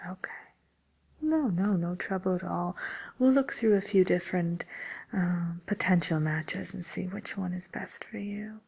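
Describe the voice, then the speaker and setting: A woman playing a polite, attentive matchmaker for villains in a whispered ASMR roleplay, with gentle, hesitant, breathy delivery. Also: lowish voice, unhurried, heavily acted. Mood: politely helpful. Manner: gentle, hesitant, courteous customer-service delivery. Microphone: close microphone.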